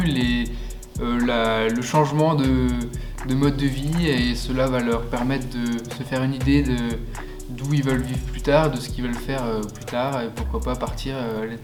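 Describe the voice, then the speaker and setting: Brief: young man from France, student project lead, halting delivery, clear music bed underneath. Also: mid-range voice. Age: young adult. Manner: hesitant, many fillers and restarts. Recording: music playing behind. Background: background music.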